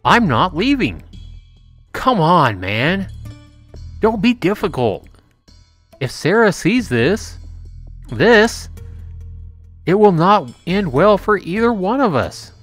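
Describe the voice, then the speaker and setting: A man's voice: sits high, big pitch swings, slow, long pauses, a bit bright, soft background music, voice pushed up, heavily acted. Man acting a nervous, pleading young boyfriend. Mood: urgent, pleading. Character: nervous, flustered boyfriend facing his double in horror story.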